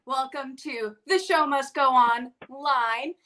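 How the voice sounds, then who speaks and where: Upbeat lady host on a live stream, high bright voice. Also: slow. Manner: bubbly, upbeat, laughs along. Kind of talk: casual livestream interview. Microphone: video call.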